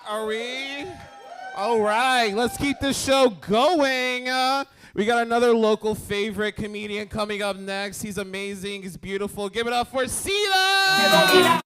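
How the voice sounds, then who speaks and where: A man's voice: very high-pitched, unhurried, sharp tone, smooth. A man hosting a comedy show on a theater stage, introducing acts with giggly, flirty banter. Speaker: show host.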